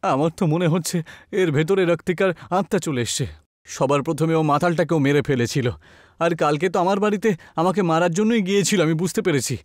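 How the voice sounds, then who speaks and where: A man voicing a frightened, agitated village husband in a horror story, excitable and complaining. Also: on the high side, brisk, dry recording, clean studio sound, natural voice. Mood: insistent.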